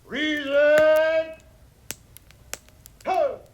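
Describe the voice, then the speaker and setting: Bellowing cavalry officer, drawn-out high shouts. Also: male voice, flat delivery, heavily acted. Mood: commanding. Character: cavalry parade officer bellowing drill commands. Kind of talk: 1950s radio drama acting. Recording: old radio, dull, echoey, faint noise.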